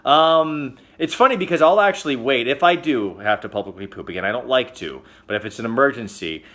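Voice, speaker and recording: A man hosting a casual comedic news roundup, chatty and joking, with sudden loud exclamations. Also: medium pitch, animated, a little fast. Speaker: host.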